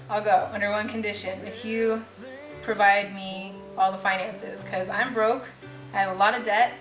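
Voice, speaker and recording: Flat-voiced young woman, roomy and distant. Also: mid-range voice. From Hungary, raised in California. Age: 20s. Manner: halting, many ums and restarts. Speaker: young woman giving a personal testimony. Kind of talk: personal testimony. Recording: roomy, distant mic with music behind.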